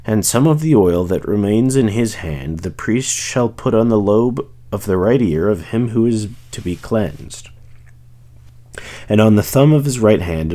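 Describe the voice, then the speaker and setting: A man's voice: fairly low pitch, mellow. A man reading scripture aloud as a reader of a long text.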